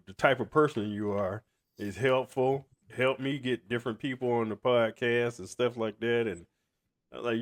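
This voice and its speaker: Laid-back podcast host. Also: male voice, medium pitch. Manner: laid-back, laughs often, says y'all. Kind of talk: casual interview chat.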